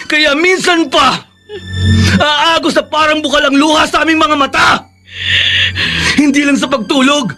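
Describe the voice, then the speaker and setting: A resentful grown son in a Filipino radio drama. His voice is very high and bright, heavy with accusation and melodrama. Music plays underneath his lines. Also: fairly even intonation, unhurried, exaggerated voice. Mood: bitter, anguished. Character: bitter, wounded grown son rejecting his returned mother.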